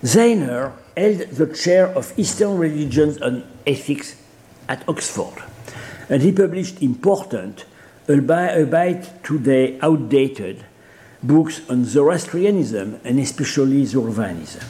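A man with a Persian accent giving an academic seminar lecture, halting often with fillers and restarts. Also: medium pitch, expressive, dark tone.

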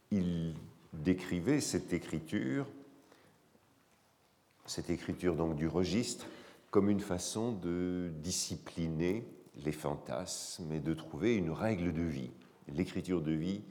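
A man with a cold lecturing in a hall, his voice hoarse, his speech halting with frequent pauses and fillers. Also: low-pitched, darkish tone. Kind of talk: academic lecture.

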